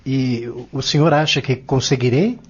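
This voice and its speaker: A man's voice: medium pitch, normal speed, husky, lo-fi sound, close to an everyday voice. An actor playing an earnest, curious young man in an audio drama, polite and questioning.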